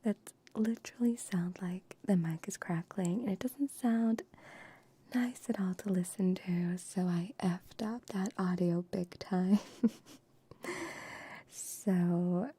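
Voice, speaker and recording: Slow whispering young woman, husky. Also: on the low side, fairly even intonation. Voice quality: soft whisper, mostly unvoiced. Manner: affectionate, teasing pet-play talk to viewers. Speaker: streamer. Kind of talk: whispered ASMR live stream chat. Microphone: shotgun microphones close to the mouth.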